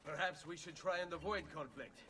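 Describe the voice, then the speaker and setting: An actor voicing a composed young male assassin, calm and measured. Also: on the high side, faint music behind. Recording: game audio with ambient noise underneath.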